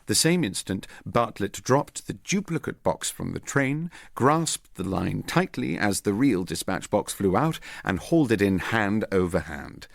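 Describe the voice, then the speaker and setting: A man reading as a self-assured British gentleman agent who recounts a clever trick in an urbane, methodical way. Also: on the low side, sing-song, unhurried, vocal fry, few pauses, no room sound, clean studio sound, heavily acted. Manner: urbane, methodical, quietly pleased with himself.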